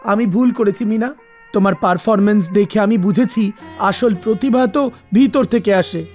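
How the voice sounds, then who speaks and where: A woman voicing a jealous, scheming young boy in an animated moral story, with a sly delivery. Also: medium pitch, normal speed, lightly acted. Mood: remorseful. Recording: music behind the voice. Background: background music.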